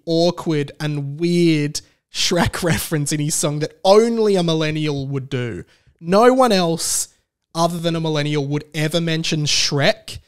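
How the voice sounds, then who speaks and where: A man hosting a casual comedic solo podcast, rambling with stammered restarts and animated, incredulous emphasis. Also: fairly high pitch, clear, resonant tone. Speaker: podcast host.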